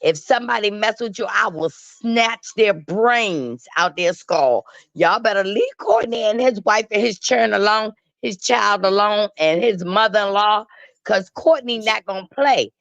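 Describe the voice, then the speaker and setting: A woman's voice: mid-range voice, unhurried, gravelly, a bit bright, no room sound. Dull-sounding, emphatic woman gossip host. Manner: emphatic, sassy storytelling in colloquial speech. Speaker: talk show host. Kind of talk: casual opinionated gossip commentary. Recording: dull, lo-fi online audio.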